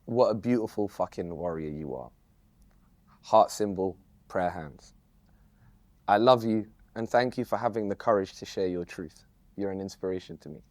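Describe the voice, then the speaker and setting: A man talking with a close friend in an animated, laughing, slangy way. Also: sits low, moderate pace, dry recording. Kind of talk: candid conversation between friends.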